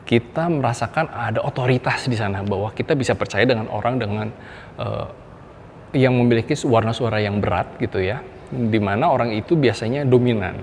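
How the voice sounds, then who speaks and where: Animated Indonesian man teaching in a reverberant room. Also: mid-range voice. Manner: animated, stresses key words, addresses viewers as "rekan-rekan". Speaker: public speaking coach. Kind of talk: educational video lecture. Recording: long reverb with faint background noise.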